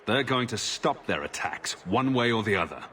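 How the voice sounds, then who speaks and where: A man's voice: lowish voice, animated, moderate pace, bright, forceful tone, a little hiss or hum. A man playing a resolute, direct hero in a fantasy video game, questioning firmly. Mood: puzzled, determined. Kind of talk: video game cutscene acting.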